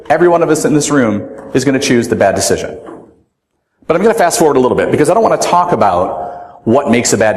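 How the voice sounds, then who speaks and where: A man with a very loud voice giving a conference talk, animated and rhetorical, often asking the audience "right?". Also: mid-range voice. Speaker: conference speaker. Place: large conference room. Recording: echoey hall with long reverb.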